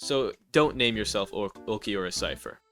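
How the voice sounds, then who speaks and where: A man's voice: on the low side, expressive, normal speed, a bit bright, faint music behind. A man with a congested, stuffy voice doing a scripted, sarcastic voice-over roast as a gaming YouTuber. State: congested, nasal from allergies.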